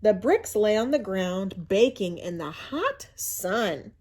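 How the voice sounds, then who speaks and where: A woman reading a storybook aloud to children in an expressive, teacherly way, pausing to explain and ask questions. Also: mid-range voice. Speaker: school media coordinator reading to children.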